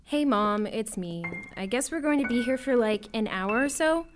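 A woman's voice: on the high side, lively intonation, moderate pace, lightly acted. A woman playing an offhand, slightly sulky teenage girl in a radio drama, speaking in casual slang. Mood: matter-of-fact. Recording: clear background noise.

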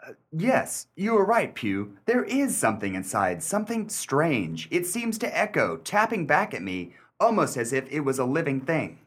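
A man playing a self-assured, skeptical gentleman puzzle expert in a radio mystery, with precise, formal diction and a dryly condescending manner. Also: a little high, wildly swooping pitch, normal speed, breathy edge, exaggerated voice. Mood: intrigued. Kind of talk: radio mystery acting, period dialogue.

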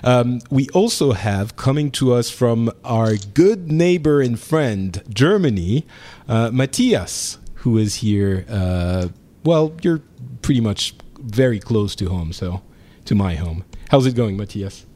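A man's voice: medium pitch, animated, takes it slowly, warm tone. French-accented man, podcast host, chatty, laughs a lot, casual roundtable. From France. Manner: chatty, laughs often, fillers. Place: home in Paris.

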